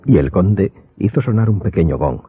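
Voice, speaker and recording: A male narrator reads a scripted story for a radio drama. His voice is deep. The recording is muffled, and music plays clearly behind him. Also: lively intonation, moderate pace.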